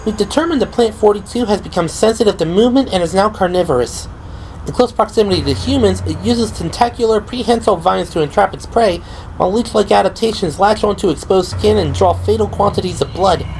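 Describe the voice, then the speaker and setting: A man's voice: sits high, a little fast, a little mellow, a little hiss or hum, voice pushed up, poor audio. A man voicing a worried young woman who intently reads research papers aloud in an audio drama. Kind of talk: audio drama character dialogue.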